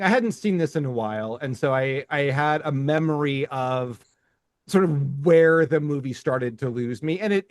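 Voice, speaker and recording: Excitable, rambling man with a higher voice. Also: no room sound, clean studio sound. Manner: rambling, many restarts and swearing, excitable. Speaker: podcast co-host. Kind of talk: casual movie podcast chat.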